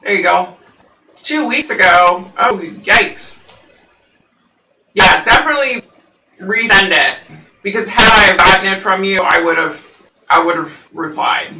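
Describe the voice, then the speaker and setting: A woman's voice: medium pitch, slow pace, long pauses, pushed and bright. A woman with a scratchy voice, a psychic host chatting in a rambling way with viewers on a home livestream, full of fillers. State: scratchy, husky voice. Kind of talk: casual live-stream chat with viewers. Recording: roomy, distant from the mic.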